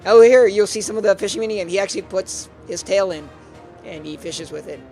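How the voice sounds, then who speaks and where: A man's voice: high voice, a little fast, darkish tone, rough recording. A man hosting a live game stream, commenting on gameplay and hesitating often with fillers and restarts. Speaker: stream host. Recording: clear music underneath.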